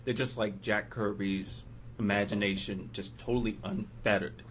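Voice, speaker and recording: A man's voice: on the low side, steady tone, moderate pace, a bit bright, a little hiss or hum, poor audio. A man on a podcast panel chatting casually and telling anecdotes.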